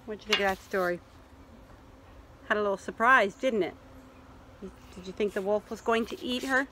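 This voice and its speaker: A middle-aged woman reading a picture book aloud to children outdoors in an expressive way, pausing to ask questions. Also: medium pitch, noisy background. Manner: expressive, asks listeners questions. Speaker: library story reader. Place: outdoors in a leafy yard.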